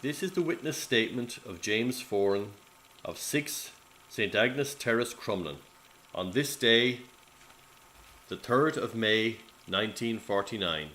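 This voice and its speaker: Actor as courteous Irish official, orderly and formal. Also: male voice, mid-range voice, a bit bright, a little hiss or hum, natural voice. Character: courteous, businesslike military bureau official taking testimony. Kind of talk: radio play acting, formal conversation.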